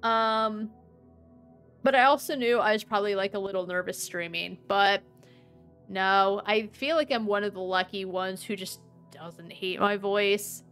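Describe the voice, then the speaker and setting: A woman in her thirties streaming a game, with a dry, sometimes monotone-sounding voice, chatting and rambling. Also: medium pitch, measured pace, smooth. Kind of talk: casual gameplay commentary. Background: faint game music.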